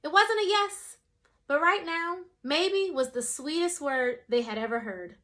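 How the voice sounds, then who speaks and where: Bright, high-voiced woman reading aloud. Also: measured pace. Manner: expressive, shifts voice for quoted characters. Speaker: storyteller reading a picture book. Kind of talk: children's read-aloud.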